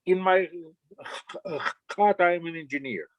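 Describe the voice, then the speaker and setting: A man's voice: high voice, slow pace, clear, resonant tone, dry recording, highs cut off. A man from Russia, introducing himself modestly in an online meeting. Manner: modest, laughs easily. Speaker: physician. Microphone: video call.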